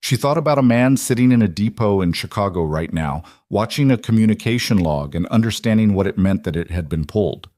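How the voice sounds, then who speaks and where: A man reading scripted suspense fiction as an audiobook narrator, in a measured, deliberate manner. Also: fairly low pitch, lively intonation, a little mellow.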